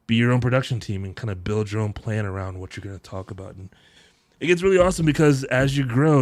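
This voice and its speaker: Relaxed deep-voiced man streaming live. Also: no room sound. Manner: relaxed, rambling, chuckles often. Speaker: live-streaming tech deals host. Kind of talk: casual live-stream chat. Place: home streaming room. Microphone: boom mic close to mouth, headphones on.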